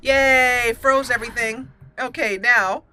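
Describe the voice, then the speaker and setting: A woman's voice: a little high, steady tone, slow pace, sharp tone, a little hiss or hum. A woman giving casual let's play commentary, thinking aloud and talking straight to the game's characters. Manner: thinking aloud, addressing game characters directly. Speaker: gaming channel host.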